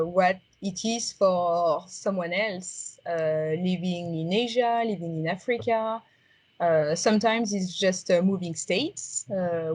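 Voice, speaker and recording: A woman with a strong French accent talks through her answers in a somewhat low voice, stopping often with ums and uhs as she searches for words. The sound is dry and close, with no room echo. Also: darkish tone. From France. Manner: halting, many fillers and restarts. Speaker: interviewee. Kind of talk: reflective conversational interview.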